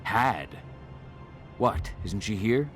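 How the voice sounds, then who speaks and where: Gruff determined warrior dad in a video game, deep voice, short firm lines, music behind. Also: male voice, lively intonation, very slow. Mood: anxious. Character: determined, gruff warrior father seeking his daughter. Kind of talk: video game cutscene acting.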